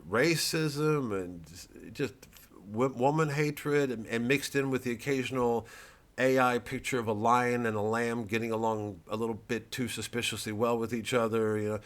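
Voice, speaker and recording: A middle-aged man, a comedian, talking in a rambling, sardonic monologue that swings into loud, mocking asides. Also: medium pitch, steady tone, moderate pace. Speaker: comedian promoting his work.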